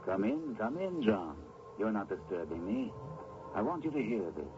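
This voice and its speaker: An actor playing a theatrical, fanatical conspirator who declaims grandly like a stage tragedian in an old-time radio drama. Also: male voice, on the low side, very animated, normal speed, music in the background. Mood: smooth, self-satisfied. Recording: dull old radio recording with clear noise.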